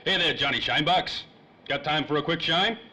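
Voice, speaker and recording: A man playing a bright-voiced hard-boiled private eye. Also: on the high side, brisk, slightly rough, full character voice. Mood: weary, pressing. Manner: slangy, wry tough-guy talk. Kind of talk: old-time radio drama acting. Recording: faintly noisy old-time radio recording.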